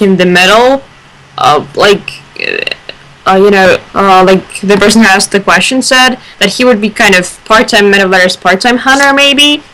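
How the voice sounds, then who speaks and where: A woman speaks casually in a panel discussion among fans. Her pitch is average and her tone is bright and forward. She reasons aloud without a script. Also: rough recording. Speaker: radio show panelist.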